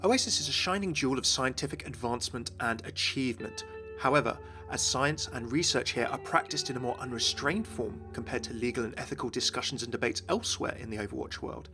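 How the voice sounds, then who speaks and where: A man's voice: mid-range voice, expressive, fairly quick, pushed and bright. A man presenting game lore as an enthusiastic scripted video narration. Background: music.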